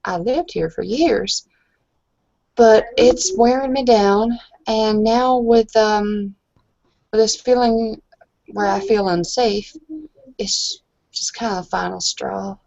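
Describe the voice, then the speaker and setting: Woman, average pitch, earnest, hesitant, casual roleplay talk, close dry sound, muffled recording. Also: takes it slowly, vocal fry, darkish tone, dry recording. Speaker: tabletop roleplaying player. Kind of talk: casual in-character chat.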